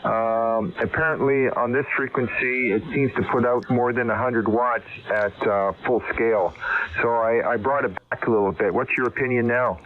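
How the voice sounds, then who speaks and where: Halting man over a tinny ham radio link. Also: mid-range voice, fairly even intonation, a crowd in the background. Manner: halting, searching for words. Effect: heard over shortwave radio. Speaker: amateur radio operator. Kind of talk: unscripted ham radio conversation. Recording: narrow tinny amateur radio transmission.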